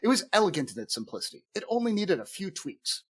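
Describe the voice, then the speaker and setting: A man's voice: high voice, very animated, fast talker, slight background noise. A man playing a bumbling, obsessive head gnome who rambles eagerly in a comedic fantasy audio drama. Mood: eager, confessional.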